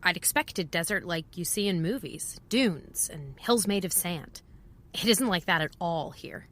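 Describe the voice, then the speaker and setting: A wry, world-weary woman records her travel journal. Her voice sits a little low with a bright, forward edge, and she reflects in short fragments. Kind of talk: first-person audio drama narration.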